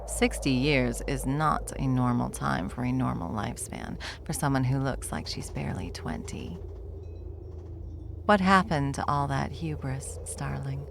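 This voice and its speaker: A woman playing a smug, world-weary veteran witch in a fantasy audio roleplay, dry, condescending and unhurried. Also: extremely low pitch, animated, gravelly, noisy background, heavily acted. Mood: mocking, amused.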